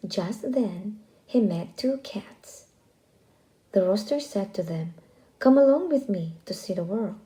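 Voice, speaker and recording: Slow, very mellow woman reading a storybook. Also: mid-range voice, poor audio. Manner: expressive, deliberate. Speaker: children's storybook reader. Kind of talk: read-aloud scripted narration for young children.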